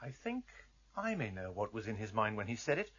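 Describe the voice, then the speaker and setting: An actor playing an earnest, determined exile on a search in a radio drama, with a slightly husky, intense voice. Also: male voice, fairly low pitch, very animated, fairly quick, a bit bright, lo-fi sound, full character voice. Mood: thoughtful, resolved.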